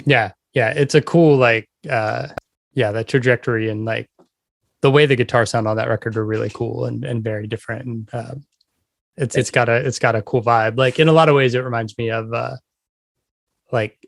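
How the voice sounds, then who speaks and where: Casting: male podcast host, mid-pitched, relaxed questioner, dry close mic. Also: moderate pace, gravelly, no room sound. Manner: short affirmations, relaxed questioning. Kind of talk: casual interview questions.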